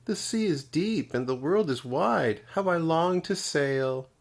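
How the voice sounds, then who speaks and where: Guy doing a tiny girl sea snail from a picture book, high pitched, dreamy n eager, swoopy. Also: animated, unhurried, creaky. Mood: longing.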